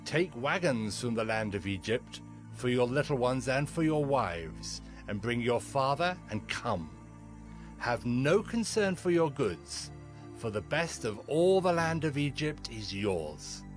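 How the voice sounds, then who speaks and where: A commanding Egyptian king, slow and regal, with wide swings in pitch. He declaims generously in a scripture drama over quiet music. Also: big pitch swings. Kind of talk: dramatized scripture audio acting. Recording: music behind the voice.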